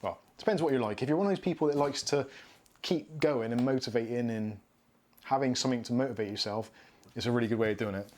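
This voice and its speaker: A man's voice: mid-range voice, fast, a little mellow. Chatty Bristolian man hosting a live stream. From southwest England. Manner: chatty, reads out viewer comments and answers them. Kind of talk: casual live Q&A chat. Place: home studio room with shelves and neon signs.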